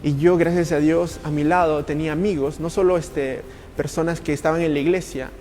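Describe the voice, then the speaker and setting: Higher-pitched, halting man in earnest conversation. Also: fairly even intonation, a little mellow, a little hiss or hum. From Italy. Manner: halting, repetitive, passionate. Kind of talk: podcast interview, earnest testimony and advice.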